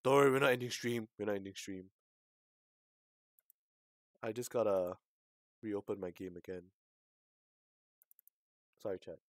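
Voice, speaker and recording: A man live streaming a game, chatting casually and haltingly, muttering to himself and to his chat. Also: on the low side, dry recording, clean studio sound.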